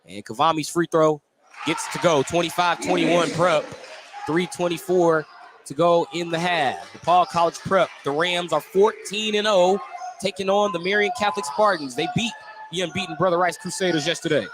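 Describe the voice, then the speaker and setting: High-voiced man calling live basketball. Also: normal speed. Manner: clipped descriptive phrases, excited on big plays. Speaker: play-by-play radio sports announcer. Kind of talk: live basketball play-by-play commentary. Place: indoor basketball gym, courtside. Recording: noisy live gym ambience behind commentary.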